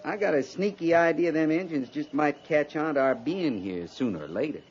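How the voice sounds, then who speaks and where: A man's voice: fairly high pitch, animated, moderate pace, lightly acted. A man playing a wry, grumbling but loyal frontier sergeant with a rustic American drawl in a 1950s radio western. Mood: sardonic. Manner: drawling, sardonic understatement. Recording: dull, noisy 1950s radio recording.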